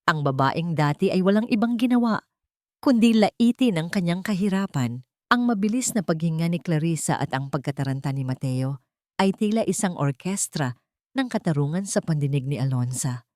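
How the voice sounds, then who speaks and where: Casting: woman narrator for dramatic audio fiction, slightly low register, emotive scripted delivery, dry studio-like sound. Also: expressive, moderate pace, a little mellow, no room sound.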